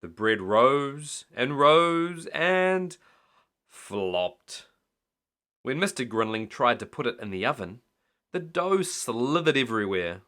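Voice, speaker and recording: A man's voice: mid-range voice, sing-song, slow pace, pushed and bright. A man reading a children's bedtime story aloud expressively, as a storyteller.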